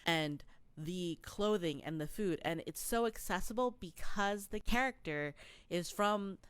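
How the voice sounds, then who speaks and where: A woman co-hosting a travel podcast in casual chat, telling stories in an animated way with plenty of fillers. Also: lowish voice, a little slow, clean tone, dry recording. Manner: chatty, animated storytelling with many fillers. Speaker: podcast co-host.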